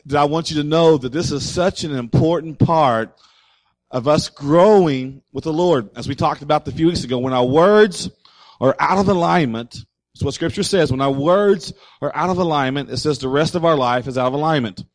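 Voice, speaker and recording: A man preaching a sermon as pastor, slightly hoarse, speaking loudly and emphatically and calling out to the congregation. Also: a little high, normal speed, dry recording, poor audio. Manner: loud, emphatic preaching with audience call-outs. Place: church.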